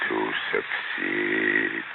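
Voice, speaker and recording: Menacing alien head, extremely deep, very slow, gravelly, tinny noisy radio broadcast. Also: male voice, flat delivery, full character voice. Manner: slow, contemptuous, commanding. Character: menacing alien head, male, cold and arrogant. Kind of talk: 1970s radio drama.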